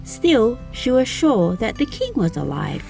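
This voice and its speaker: A woman narrating a children's fairy tale aloud as a storyteller, in an expressive, deliberate manner. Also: on the high side, vocal fry, soft background music. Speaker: storyteller and channel host.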